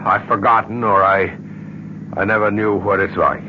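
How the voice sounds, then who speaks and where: Guy playing tired disillusioned older-ish man, middle-aged, low voice, guarded, radio drama with noisy car hum. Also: moderate pace, lightly acted. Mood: bitter, resigned. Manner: terse, flat, world-weary. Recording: muffled, noisy old radio recording.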